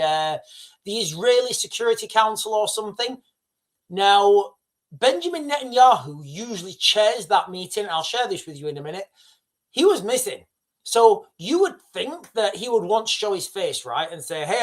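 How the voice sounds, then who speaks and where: A middle-aged man hosting a casual news livestream, talking straight to his viewers with many restarts and asides. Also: high-pitched. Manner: excitable, addresses viewers directly, rambling with restarts.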